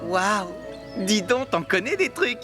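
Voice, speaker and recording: A woman's voice: medium pitch, very animated, slow pace, music in the background. A woman voicing an earnest, trusting, enthusiastic young boy adventurer in a children's cartoon, sincere and wide-eyed. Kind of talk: animated cartoon dub.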